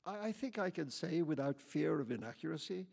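A man's voice: fairly high pitch, expressive, a little fast, vocal fry, a little mellow, husky, big-room sound, pitched above the performer's own voice, full character voice. A man reading aloud and voicing a courteous, formal older male traveler who answers hostility mildly and politely.

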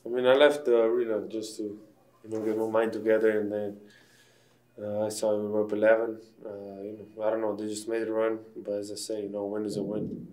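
A man's voice: lowish voice, flat delivery, a little fast, darkish tone. A man in his twenties, a basketball player whose first language is Slovenian, giving short, plain, subdued answers after a game. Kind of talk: post-game press conference. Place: press room backdrop, indoors.

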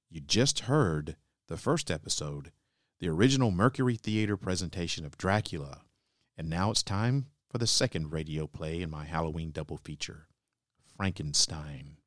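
A man hosting a podcast, reading a scripted introduction to old radio plays in measured phrases. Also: low voice, no echo, clean studio sound. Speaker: podcast host.

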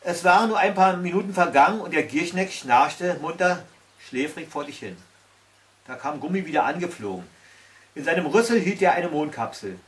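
A man's voice: a little high, expressive, normal speed, a bit bright. A man in his sixties reading a fairy tale aloud at home, as a storyteller. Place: small tiled-stove corner indoors at home. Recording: recorded from a distance.

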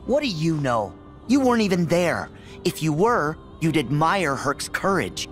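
A youthful, defiant boy hero in a video game. He speaks slowly and sincerely, his pitch leaping with feeling, while music plays clearly behind him. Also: sing-song, fairly bright tone. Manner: impassioned, sincere. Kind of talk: video game cutscene acting.

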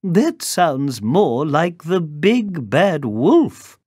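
A storyteller acting a high-voiced, wary old grandmother. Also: very animated, extremely slow, a little mellow, husky, dry recording, clean studio sound, full character voice. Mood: suspicious.

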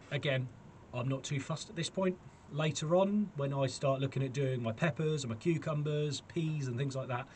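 A man in his forties with a British accent giving a casual, chatty, self-deprecating gardening demonstration to camera. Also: mid-range voice. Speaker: allotment gardening vlogger. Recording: clearly noisy camera sound with clicks.

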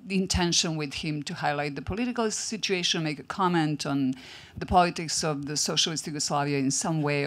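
A woman in a cinema audience asking a film question, careful, pausing to find her words. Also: low-pitched. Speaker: audience member.

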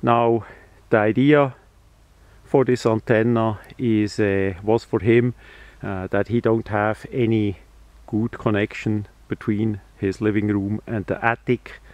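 A man explains things informally and hands-on, in halting, phrasing. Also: on the low side, little pitch movement, darkish tone, no room sound, muffled recording. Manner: halting, hesitant phrasing with non-native grammar. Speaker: radio hobbyist presenter.

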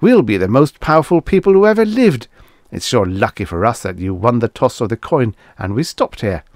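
Greedy male explorer for a science fiction storybook a man acts it out: pushy, dismissive, lively delivery, dry sound. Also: medium pitch, a little fast, dry recording, close to an everyday voice. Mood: stubborn, greedy. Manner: brash, forceful, dismissive. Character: greedy, stubborn, impatient male space explorer.

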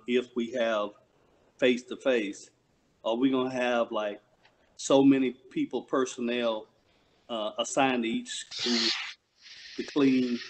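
A man at a school board meeting on a video call, asking questions in a halting way. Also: medium pitch, darkish tone. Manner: slow, halting, tentative.

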